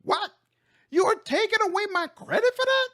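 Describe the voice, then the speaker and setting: Cocky magical commander for a fantasy game, man performing: exuberant, boastful, high and very animated. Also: moderate pace, exaggerated voice. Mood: indignant. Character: cocky, excitable male heroic commander with magic powers.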